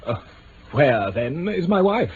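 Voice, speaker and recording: An actor with an upper-class English accent playing a stiff, haughty lord, hesitant and condescending, in mid-century radio drama style. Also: male voice, on the high side, very animated, slow pace. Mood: affronted. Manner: hesitant, pompous, formal. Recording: dull, hissy old radio recording.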